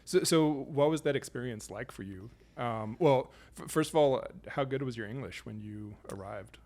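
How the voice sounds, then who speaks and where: A man hosting a podcast interview, his voice at an ordinary middle pitch. He opens his questions with stammered restarts and follows up with curious prompts. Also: normal speed, a little mellow. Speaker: podcast host.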